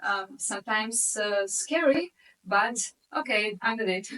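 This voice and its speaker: A woman's voice: mid-range voice, lively intonation, measured pace, pushed and bright, far from the mic, poor audio. A woman introducing herself at a workshop in reflective, hesitant non-native English. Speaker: workshop participant.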